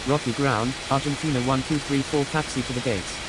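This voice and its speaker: A man voicing a brisk airline pilot in a flight control game, using clipped, formulaic radio phraseology. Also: medium pitch, fairly even intonation, natural voice. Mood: businesslike. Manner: formulaic, procedural. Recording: clear hiss over radio-style game audio.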